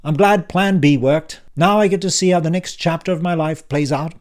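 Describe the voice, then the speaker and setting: Guy putting on a cranky old uncle, raised pitch, loud and bossy, sardonic and rude. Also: normal speed, lightly acted. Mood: brusque. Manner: gruff, cutting, bossy. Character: brash, impatient older uncle, rude and sardonic. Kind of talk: audiobook character voice.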